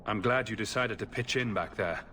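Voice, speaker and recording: A man voicing a self-assured young human adventurer in a video game, direct and measured in his short questions. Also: fairly low pitch, pushed and bright, a little hiss or hum. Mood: direct, curious.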